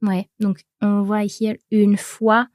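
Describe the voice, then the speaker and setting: Slow-spoken young French woman. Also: mid-range voice, no echo, clean studio sound. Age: 20s. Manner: slow, relaxed, with fillers and light laughs. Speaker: co-host, native French speaker. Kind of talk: bilingual language lesson.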